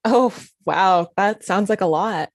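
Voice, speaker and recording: A woman's voice: mid-range voice, slow, dry recording, studio quality. A woman playing a polite, skeptical TV executive in her mid-thirties, dryly, in a comedy table read. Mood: amused, curious. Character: polite, skeptical 35-year-old female TV executive.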